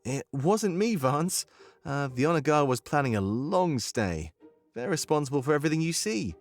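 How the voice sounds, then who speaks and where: A man voicing a warm, cocky and informal rebel general in a visual novel. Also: a little high, big pitch swings, moderate pace, soft background music. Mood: assured.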